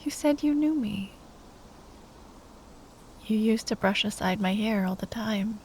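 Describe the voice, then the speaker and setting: A woman playing a proud, grieving royal knight in a fantasy ASMR roleplay, speaking softly and haltingly, often in a breathy whisper, tender with a wry edge. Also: mid-range voice, slight background noise, lightly acted. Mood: teasing, tender.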